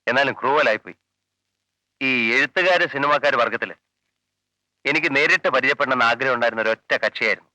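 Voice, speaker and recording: A brisk, sharp police officer. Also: male voice, medium pitch, dry recording, poor audio. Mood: wry. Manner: curt, probing questioning. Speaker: police investigator. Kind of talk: 1980s film drama.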